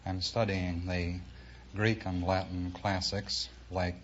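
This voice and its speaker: Male professor contestant, low register, erudite and deadpan phrasing, muffled vintage radio recording. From Oregon, United States. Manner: erudite, measured, dry. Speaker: English professor, quiz contestant. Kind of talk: formal interview answers. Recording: dull old radio recording, faint noise.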